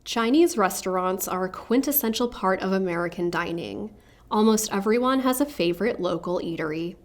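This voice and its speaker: Woman narrator, medium pitch, smooth scripted delivery. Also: animated, normal speed. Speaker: podcast narrator.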